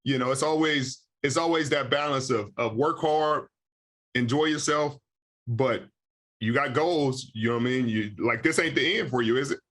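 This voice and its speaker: A man in his thirties hosting a podcast interview, talking in a relaxed, slangy way with lots of "you know.". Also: medium pitch, normal speed. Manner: laid-back, slangy, frequent "you know". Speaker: podcast host. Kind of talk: casual interview chat.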